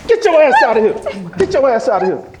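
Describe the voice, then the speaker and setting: A man's voice: very high-pitched, wildly swooping pitch, brisk, very noisy, full character voice. A man acting in a stage play as a middle-aged, protective father who is profane and confrontational. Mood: furious, shouting.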